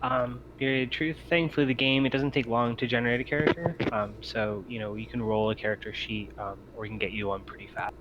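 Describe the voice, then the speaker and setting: A man's voice: mid-range voice, fairly even intonation, fairly quick, hardly any pauses. Man on a dull, noisy mic. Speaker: game master. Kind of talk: casual explanation to players. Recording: muffled lo-fi mic, clearly noisy background.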